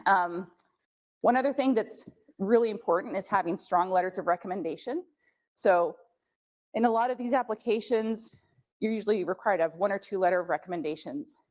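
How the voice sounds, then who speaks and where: A woman's voice: on the low side, darkish tone. A young woman whose first language is German, giving casual, frank advice at a scholarship workshop with plenty of fillers. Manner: chatty, frank, many fillers.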